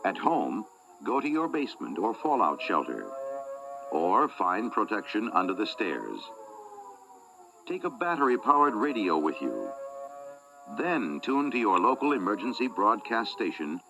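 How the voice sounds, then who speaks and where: A man's voice: lowish voice, sing-song, measured pace, over music. A man reading a scripted civil defense announcement as an emergency broadcaster, in an authoritative, instructional manner.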